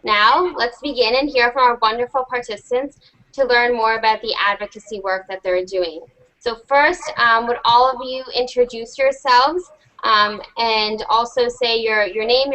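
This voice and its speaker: A woman's voice: on the high side, normal speed, bright, forceful tone, rough recording. A young American woman in her teens, enthusiastically hosting an online panel with a prepared introduction. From United States. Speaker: host.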